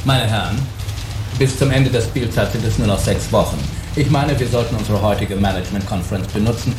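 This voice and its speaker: A man acting as a brisk, authoritative executive who chairs a meeting in a German radio drama, speaking formally and decisively. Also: lowish voice, reverberant, background noise, close to an everyday voice. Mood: businesslike.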